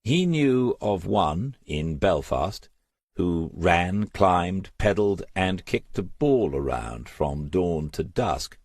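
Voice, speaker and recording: A man reading scripted crime fiction as an audiobook narrator, in a measured, understated way. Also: deep voice, sing-song, a little mellow, no echo, studio quality.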